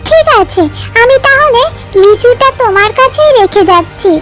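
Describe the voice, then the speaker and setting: A woman's voice: extremely high pitch, animated, normal speed, loud music behind the voice. A woman playing a caring, easily worried mother fox in a cartoon, earnest and motherly.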